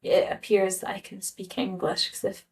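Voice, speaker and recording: A woman's voice: medium pitch, flat delivery, normal speed. A woman from Scotland with a Scottish accent, telling a personal story in a hesitant, rambling, conversational way, like a casual vlog. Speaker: travel vlogger.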